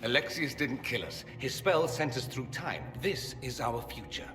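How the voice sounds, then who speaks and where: A man's voice: medium pitch, expressive, moderate pace, music in the background, close to an everyday voice. A man playing an articulate, wry, easily exasperated mage in a game, speaking precisely. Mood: explaining, wry. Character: articulate, exasperated male mage with dry wit. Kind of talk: dramatic game voice acting. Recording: long hall reverb.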